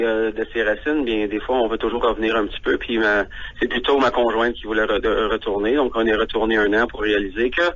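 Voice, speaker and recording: A man's voice: fairly low pitch, fairly bright tone, dull audio. A man from Ontario, a guest on a radio phone interview who talks hesitantly, with frequent fillers.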